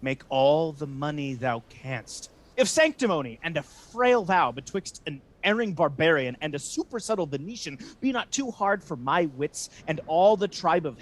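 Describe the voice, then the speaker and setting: A man's voice: a little high, very animated, a little slow. A man playing a scheming, resentful Venetian ensign in a Shakespeare radio play, persuasive and theatrical. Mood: emphatic persuasion.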